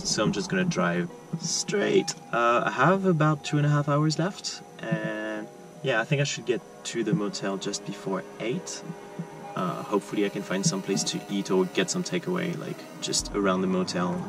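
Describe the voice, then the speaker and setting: A young man vlogging a road trip, talking casually to a dashboard camera while he drives, with easygoing fillers as he thinks aloud. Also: fairly low pitch. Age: young adult. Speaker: travel vlogger. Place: inside a car while driving; motel room, small, indoors. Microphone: camera mounted on the dashboard.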